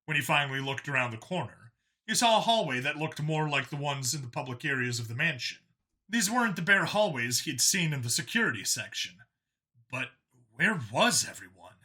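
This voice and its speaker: A man's voice: a little high, very animated, a little fast, sharp tone. A man narrating a science fiction action story from a script.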